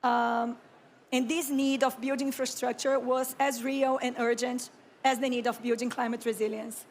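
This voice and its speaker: A Brazilian woman in her thirties, speaking English with a Brazilian Portuguese accent, gives a slow, reflective conference talk as an architect and urban planner, often clearing her throat. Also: on the high side, little pitch movement, slight background noise. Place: conference stage.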